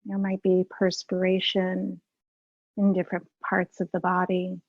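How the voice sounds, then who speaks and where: A woman guides a meditation in a slightly low voice that stays very even. She is unhurried, leaving long pauses between phrases. The sound is dry and close to the room-free, though a little dull and lo-fi. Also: dry recording. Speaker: meditation teacher.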